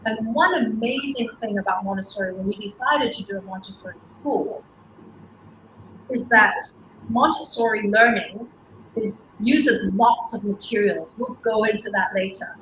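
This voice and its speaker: School co-founder, woman, clear bright voice, talks easily with lots of restarts, roomy sound over a webcam. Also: mid-range voice, a little slow, slight background noise. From Hong Kong. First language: Cantonese. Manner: warm, fluent with many restarts and ums. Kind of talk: interview on a livestream.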